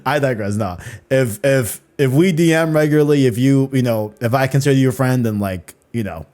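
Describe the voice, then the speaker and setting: A man's voice: mid-range voice, brisk, a little mellow. A man hosting a casual podcast, rambling and often repeating "you know what I'm saying.". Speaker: podcast host.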